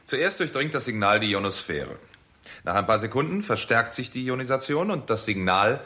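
An actor playing a systematic researcher who lays out findings in an orderly way, in a 1960s German radio play. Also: male voice, medium pitch, very animated, moderate pace, bright, forceful tone. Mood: explanatory.

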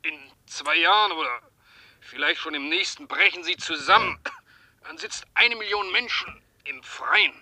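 An actor playing a defiant, embittered branch chief on a call link in a 1970s radio play, sharp and agitated. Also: male voice, a little high, animated, measured pace, exaggerated voice, poor audio.

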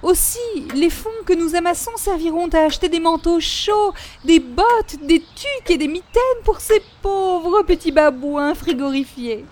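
Radio comedy fussy, gushing neighbour lady, extremely high voice, faint noise behind. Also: animated, unhurried, few pauses, a little mellow. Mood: earnest, fussy. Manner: gushing, prim, exclamatory. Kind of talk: 1940s radio comedy acting.

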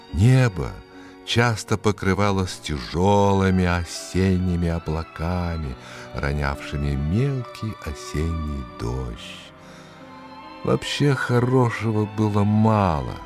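A man narrating a fairy tale expressively, in a measured way with dramatic pauses. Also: deep voice. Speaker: storyteller. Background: music.

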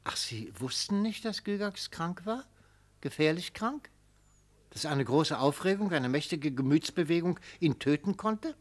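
A man's voice: a little high, expressive, a little slow. An actor playing a retired prosecutor in a radio play, theatrical and silky, mockingly affable as he probes and savours each phrase. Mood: accusing. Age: older. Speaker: prosecutor in a mock trial. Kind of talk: German radio play acting.

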